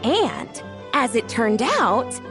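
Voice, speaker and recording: A high, lively young woman's voice, chatty and a touch flustered, rising and falling expressively as she tells a story. She is friendly and earnest throughout. Faint game music hums underneath. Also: very slow, exaggerated voice. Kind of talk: video game dialogue. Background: soft game music.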